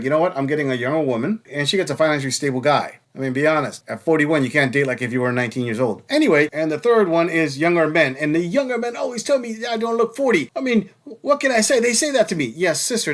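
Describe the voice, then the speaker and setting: A man's voice: a little high, animated, fast, few pauses. A man hosting a reaction channel, mocking and blunt as he comments on clips.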